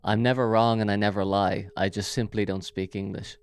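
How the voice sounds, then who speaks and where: A man's voice: lowish voice, flat delivery, a little fast, vocal fry, clear, resonant tone. An Irish man hosting a casual solo podcast, rambling and jokey with lots of fillers, speaking into a big studio microphone. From Ireland. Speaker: podcast host.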